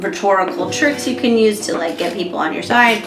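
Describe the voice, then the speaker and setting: Chatty American woman, clear and careful. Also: mid-range voice, fairly quick. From United States. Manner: chatty, laughs often, clear careful diction. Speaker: podcast co-host. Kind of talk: casual chat. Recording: echoey room with music behind.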